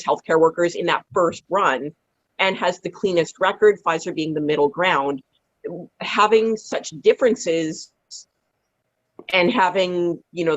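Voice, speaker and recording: A woman in a casual radio discussion, explaining carefully and rephrasing as she goes, on muffled audio. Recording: muffled, dry low-fidelity call audio.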